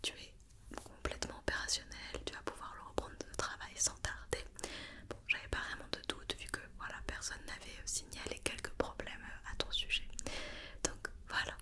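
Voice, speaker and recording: A woman whispering an ASMR roleplay as a doctor giving an examination, with soft, reassuring and encouraging step-by-step instructions. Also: moderate pace. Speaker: ASMR performer playing an occupational doctor examining a robot.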